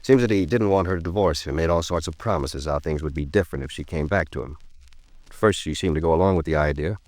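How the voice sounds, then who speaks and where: Fast-talking, low-voiced earnest attorney. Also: male voice, darkish tone, no room sound. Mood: earnest, concerned. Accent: American. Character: earnest, cautious male attorney, talkative and proper. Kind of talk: 1950s radio drama dialogue.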